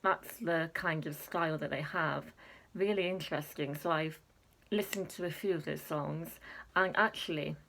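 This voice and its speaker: A young woman chatting casually to camera, filling pauses with ums and laughing at herself. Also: sits low, fairly bright tone, poor audio. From Wales. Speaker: Eurovision reaction vlogger.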